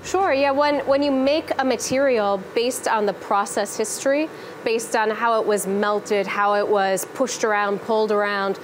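A woman explaining materials engineering on a tour of an industrial lab, in a technical, measured way. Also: a little high, fairly even intonation, slight background noise. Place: large industrial lab indoors.

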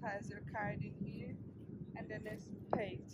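Woman chatting over loud cabin noise. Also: medium pitch, flat delivery, a little slow. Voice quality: non-native English phrasing. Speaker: travel vlogger. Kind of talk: casual vlog chat. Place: aboard an airliner cabin in flight. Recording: dull muffled sound, loud cabin drone. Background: loud aircraft cabin noise.

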